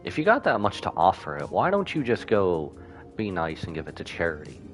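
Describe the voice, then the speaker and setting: A man streaming a game, giving casual, expressive live reactions in slangy speech. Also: sits low, fairly quick, soft background music.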